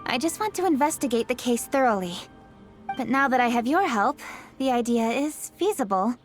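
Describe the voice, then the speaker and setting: Woman playing a slightly high, earnest young heroine. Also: lively intonation, moderate pace, faint music behind, natural voice. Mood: earnest, focused. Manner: formal, composed. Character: earnest, determined young woman investigator and fighter. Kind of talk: video game voice acting.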